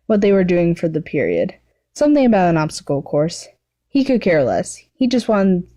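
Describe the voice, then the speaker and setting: Female story narrator, warm relaxed tone, slightly low pitch, amateur fan fiction reading. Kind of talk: fan fiction read aloud.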